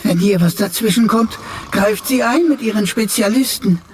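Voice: mid-range voice, normal speed, slight background noise, close to an everyday voice. An actor playing a chatty, cheeky talking computer in a radio play, with flowery, mock-archaic, servile and theatrical speech.

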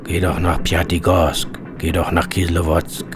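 A man reading a scripted literary radio travelogue as its narrator, in terse, deliberate fragments. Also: deep voice, flat delivery. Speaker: author narrating his own journey. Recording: music bed under the voice. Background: music.

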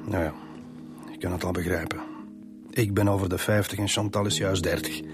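A man's voice: low-pitched, flat delivery, a little fast, lightly acted. A man with a Flemish accent playing a defensive divorced man in his fifties in a radio crime drama, hesitant and self-justifying. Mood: explaining, defensive. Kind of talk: naturalistic radio drama dialogue. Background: music.

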